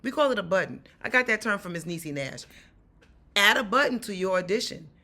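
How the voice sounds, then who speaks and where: A woman in her forties from New York, with a New York accent and a raspy voice, giving emphatic acting advice straight to camera from a small room at home. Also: medium pitch, fairly quick. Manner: animated, emphatic, repeats key points. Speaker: acting coach.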